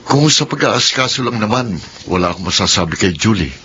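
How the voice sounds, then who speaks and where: An easygoing young husband. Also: male voice, lowish voice, animated, fairly quick, bright, forceful tone, slight background noise. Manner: teasing, patient. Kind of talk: radio drama acting. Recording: dull lo-fi radio recording.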